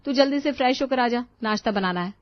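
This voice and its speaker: A woman voicing a brisk, evasive elder sister-in-law in a story, casual and coaxing. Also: a little high, lo-fi sound, voice pushed up, close to an everyday voice. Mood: dismissive, brisk.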